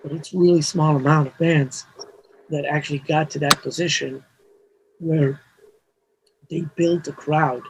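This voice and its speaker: A man's voice: fairly high pitch, steady tone, a little slow, long pauses, a little hiss or hum, poor audio. A man, a Hebrew speaker, talking casually as an interview guest, rambling with restarts and frequent "you know" fillers. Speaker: mastering engineer guest.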